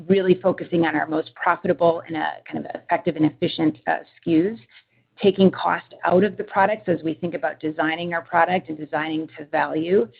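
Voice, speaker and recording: A woman with a low, gravelly, husky voice speaks as a finance executive on an earnings call. She is businesslike and organized, working through points in corporate language. The audio is narrow and phone-like. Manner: businesslike, structured. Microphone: conference call line. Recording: tinny phone-like conference line.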